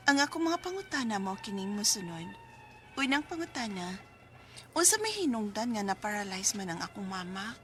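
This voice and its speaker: A woman's voice: on the high side, a bit bright. A Filipino woman with a Cebuano accent playing a devoted, anxious daughter in an emotional radio drama. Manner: emotional, pleading. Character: devoted, anxious grown daughter recounting her mother's illness. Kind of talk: radio drama acting and letter narration. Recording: radio drama with background music.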